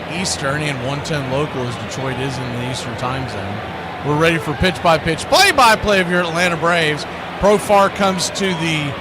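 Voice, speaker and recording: Male baseball stream announcer, slightly high voice, chatty and blunt between pitch calls, loud background noise throughout. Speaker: play-by-play baseball broadcaster and channel host. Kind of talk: live baseball play-by-play mixed with casual chat.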